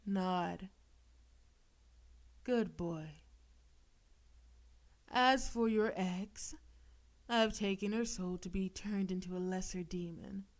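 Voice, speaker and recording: A woman voicing a possessive, seductive female demon in an intimate audio roleplay, teasing and coaxing with theatrical menace, sometimes breathy. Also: mid-range voice, steady tone, slow, long pauses. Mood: commanding. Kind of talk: intimate audio roleplay, scripted monologue to listener.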